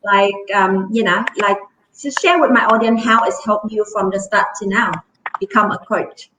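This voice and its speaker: A woman's voice: mid-range voice, moderate pace. A woman from Vietnam with a Vietnamese accent, hosting an interview and searching for her words as she asks questions. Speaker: interview host. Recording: echoey room with noise.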